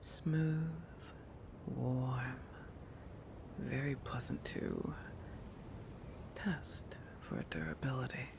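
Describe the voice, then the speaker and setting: Man acting out a slow, gravelly, possessive shark predator. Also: mid-range voice, big pitch swings, background noise, heavily acted. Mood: teasing, possessive. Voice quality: breathy, often dropping to whispers. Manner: halting, musing, with thoughtful hums. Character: possessive, oblivious shark person, apex predator protector. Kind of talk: intimate scripted roleplay audio, ASMR-like.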